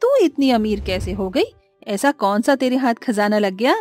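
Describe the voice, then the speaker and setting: A woman voicing a greedy, scheming young college friend in an animated moral story. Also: medium pitch, normal speed, faint music behind, natural voice. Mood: envious, scheming.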